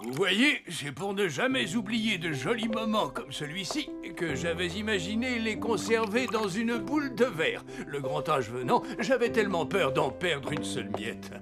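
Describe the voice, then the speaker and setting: An actor playing a childlike, excitable, jolly old Father Christmas in a French animated cartoon. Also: high voice, normal speed, hardly any pauses. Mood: fond, wistful. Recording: loud music behind the voice.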